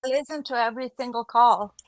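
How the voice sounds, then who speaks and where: A woman's voice: fairly high pitch, quick pace, no echo. A woman speaking as a guest on a casual panel in an upbeat, emphatic way.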